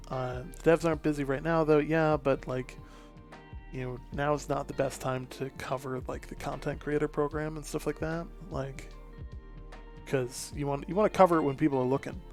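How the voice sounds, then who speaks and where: A man chatting casually and thinking aloud on a gaming show, rambling in a halting way. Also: mid-range voice. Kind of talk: casual chat.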